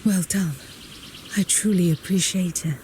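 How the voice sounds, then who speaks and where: A woman playing a composed, gracious but guarded proprietress in a video game, speaking in a formal, measured way. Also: fairly low pitch, fairly even intonation, a little mellow, slight background noise, natural voice. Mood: gracious, weary. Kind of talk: video game character voice acting.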